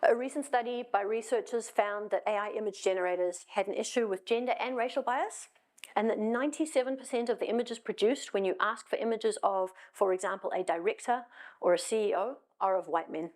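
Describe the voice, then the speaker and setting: A woman's voice: medium pitch, brisk, a little mellow. A middle-aged woman from South Africa with a South African accent, giving a conversational talk on stage with wry asides and frequent ums. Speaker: conference speaker. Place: stage in a hall.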